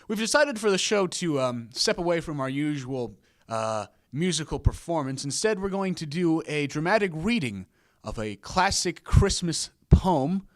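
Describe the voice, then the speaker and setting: A man's voice: fairly high pitch, animated, normal speed, no room sound. Male host, dry close sound. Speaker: host, video DJ. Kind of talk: casual show introduction.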